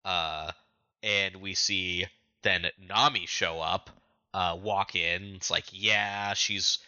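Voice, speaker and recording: A man co-hosting a podcast, recapping a story in casual, informal chat with an animated way of telling it. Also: sits low, slow pace, no room sound, muffled recording. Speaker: podcast co-host.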